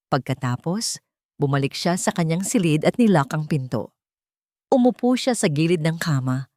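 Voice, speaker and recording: A woman with a Filipino accent reading a scripted love story as a narrator, in a dramatic, deliberate way. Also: low-pitched, darkish tone, no echo, clean studio sound.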